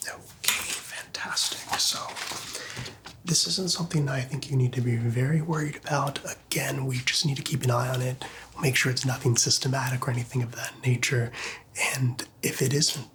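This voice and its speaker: A man whispering gently and reassuringly in a breathy voice, playing a doctor in an ASMR medical roleplay. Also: medium pitch, steady tone, moderate pace, slight background noise. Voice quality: breathy, mostly unvoiced whisper.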